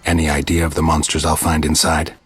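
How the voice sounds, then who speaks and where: An actor playing a gruff, stoic monster hunter in a video game, terse and deadpan with a raspy voice. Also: male voice, a bass voice, fairly quick, no room sound, heavily acted. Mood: stoic, dry. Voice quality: gravelly, raspy. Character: gruff, stoic male monster-hunting witcher, terse and dry.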